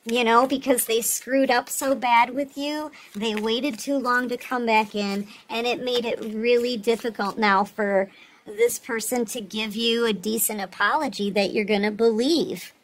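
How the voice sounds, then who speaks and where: A woman's voice: on the high side, fairly even intonation, a little slow, slight background noise, low-quality recording. A woman giving a casual, reassuring tarot reading, speaking straight to the listener and often checking in. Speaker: tarot reader, host.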